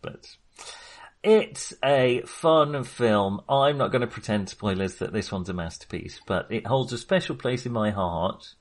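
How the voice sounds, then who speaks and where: A man co-hosting a podcast in a casual film discussion, speaking in a halting, wry, jokey manner. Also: lowish voice, lively intonation. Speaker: podcast co-host.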